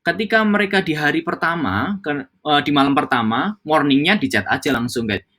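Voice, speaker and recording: A man's voice: a little high, animated, moderate pace, bright, forceful tone. A young man giving an informal training talk to hospitality coworkers, mixing English phrases into casual speech, with frequent fillers and restarts. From Java, Indonesia.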